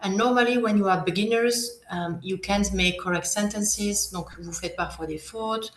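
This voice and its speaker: A woman's voice: medium pitch, measured pace, reverberant. A French woman in her thirties teaching a live online workshop, switching between French and English, patient and encouraging with many hesitations.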